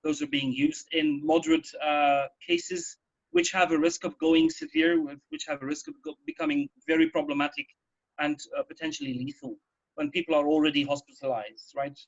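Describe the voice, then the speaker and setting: A man with a fairly high voice and noticeable fry talks through health news in a casual radio discussion. He explains point by point, circling back to rephrase. The audio is a little dull. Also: very animated, moderate pace. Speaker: radio talk host.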